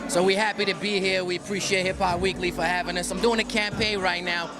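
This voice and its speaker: A man's voice: sits high, little pitch movement, quick pace. A young man from the Netherlands, a hip hop artist, talks about his music in a laid-back way and often says "you know what I'm saying.". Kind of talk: promotional interview. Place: outdoor party. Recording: outdoor event with loud music.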